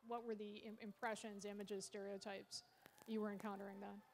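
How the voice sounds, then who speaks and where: A woman's voice: mid-range voice, little pitch movement, a little fast, slight background noise. A woman moderating a panel discussion, asking long, probing questions of the speakers.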